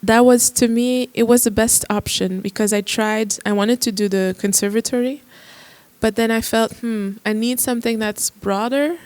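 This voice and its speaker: A woman's voice: on the high side, steady tone, moderate pace, a little mellow, dry recording. A woman musician on a discussion panel, speaking earnestly and restarting her phrases as she thinks. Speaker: musician guest.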